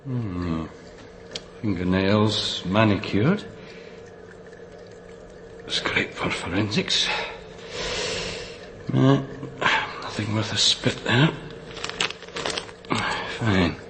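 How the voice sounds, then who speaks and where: An actor playing a fussy, eccentric male pathologist in a radio crime drama, muttering haltingly and repeating phrases. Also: on the low side. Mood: absorbed, muttering. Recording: clear background ambient noise.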